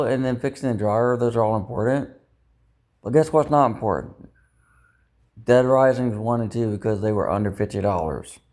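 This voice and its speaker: A man talking casually in a rambling monologue, second-guessing himself and ruminating, with some mild swearing. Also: medium pitch. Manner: self-doubting, ruminating, mildly profane. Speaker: vlogger.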